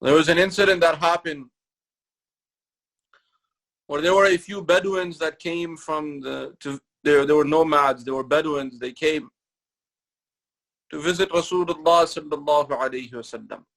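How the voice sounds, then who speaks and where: A man giving a Ramadan lecture in a mosque, speaking in measured, repeated phrases and reciting Quranic Arabic between his English explanations. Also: on the high side, steady tone, gravelly, fairly bright tone, low-quality recording. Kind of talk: sermon-style religious lecture.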